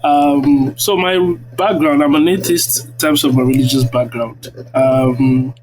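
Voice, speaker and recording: A man's voice: mid-range voice, fairly even intonation, measured pace, a little mellow. A man in his thirties with a Nigerian accent, answering interview questions like a lecturer, phrasing hesitantly and checking with tags. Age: 30s. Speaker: guest, university lecturer. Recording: very noisy online audio-room connection.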